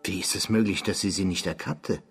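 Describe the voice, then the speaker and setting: Casting: sceptical interrogating listener, radio drama, lowish husky voice, incredulous and accusatory. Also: male voice, a little fast, faint music behind, full character voice. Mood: probing, dry. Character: sceptical, disapproving male listener questioning a confessor.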